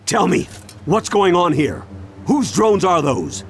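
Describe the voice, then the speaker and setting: A man's voice: high-pitched, very animated, takes it slowly, slight background noise, heavily acted. A man playing a wise, wary older mentor in a video game, serious and explanatory. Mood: stern concern. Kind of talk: video game cutscene voice acting. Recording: music under the voice.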